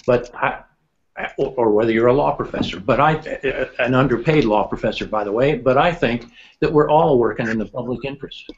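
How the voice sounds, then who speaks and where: Male law professor panelist, opinionated, stacks repeated words, mid-pitched, dull lo-fi call sound. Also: a little fast, slight background noise. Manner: opinionated, repeats words while building a point. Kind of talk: panel discussion answer. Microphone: video call. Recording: dull lo-fi video call audio.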